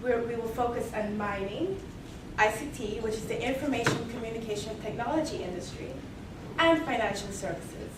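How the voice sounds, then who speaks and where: A young female student delivers a rehearsed business pitch with steady formality. Her slightly high voice comes from far off in a reverberant hall. Steady background noise sits under it. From Mexico and Belize. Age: young adult. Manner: measured, formal pitching with repeated "moving right along". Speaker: student presenter. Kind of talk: rehearsed case presentation. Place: large echoey hall, heard from afar. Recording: distant echoey hall recording with noise.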